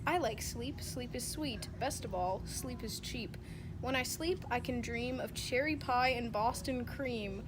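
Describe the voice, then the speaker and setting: A woman's voice: on the high side, measured pace. A young woman casually critiquing and reading poems among friends, blunt, sweary and sarcastic. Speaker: amateur poetry critic. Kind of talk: casual mocking commentary and dramatic poem reading among friends. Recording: noisy informal group recording.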